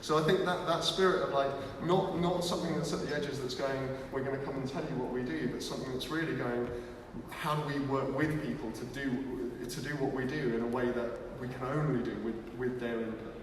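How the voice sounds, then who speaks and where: A man's voice: medium pitch, steady tone, brisk, noisy background. A man giving a live presentation at a launch event, talking energetically with many restarts. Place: large museum hall. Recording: echoey hall from far off.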